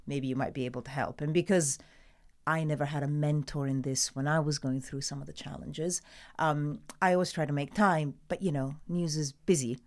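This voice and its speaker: A woman's voice: low voice, steady tone, brisk, a little mellow. A middle-aged Italian woman, a newsreader guest, talking casually and emphatically with an Italian accent, often laughing. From Italy. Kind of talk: casual interview chat.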